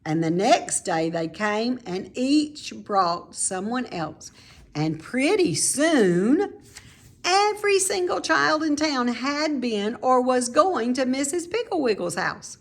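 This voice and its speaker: Slow-paced woman reading a children's story. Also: high-pitched, sing-song. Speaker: storybook reader. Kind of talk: expressive read-aloud of a children's story.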